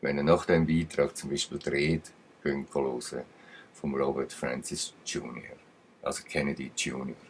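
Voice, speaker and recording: A man's voice: extremely low pitch, flat delivery, normal speed, slight background noise. A man with a Swiss German accent giving rambling commentary, emphatic and digressive, with uneven bursts. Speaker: channel commentator.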